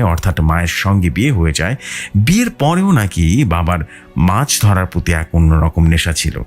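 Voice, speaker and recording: A low-voiced man tells a horror story. His delivery is dramatic, with lively rises and falls in pitch. Music plays behind him. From West Bengal, India. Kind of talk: dramatic scripted horror narration.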